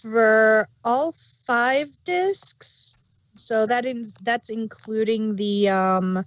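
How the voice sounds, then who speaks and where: A woman co-hosting a podcast, chatting casually about an audio drama with short, laid-back replies and soft laughs. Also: fairly high pitch, drawn-out words, no room sound, low-quality recording. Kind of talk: casual review chat.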